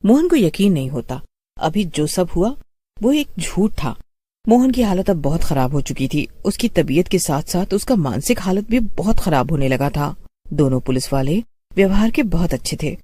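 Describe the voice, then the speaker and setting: Woman narrating a scary story, deep for a woman, soft dark tone, reading a script. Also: big pitch swings, normal speed.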